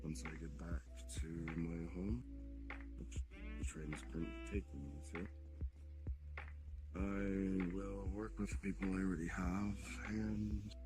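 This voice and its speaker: A man playing an imperious, ancient demon king in an improvised online roleplay, speaking in measured declarations with cold threat. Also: low-pitched, fairly even intonation, poor audio. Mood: menacing. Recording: music behind the voice.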